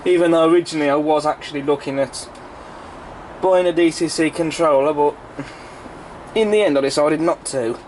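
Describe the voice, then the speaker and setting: A British man reviews casually to camera in a somewhat high voice, made croaky and congested by a cold. He explains things in a rambling, informal way. There is faint noise behind him. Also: normal speed, darkish tone, rough recording. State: has a cold, sore throat. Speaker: model railway video reviewer.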